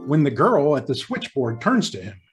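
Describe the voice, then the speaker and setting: Grand, animated male radio drama narrator. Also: mid-range voice, normal speed, faint music behind. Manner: grand, theatrical delivery. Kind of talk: dramatic scripted old-time radio narration.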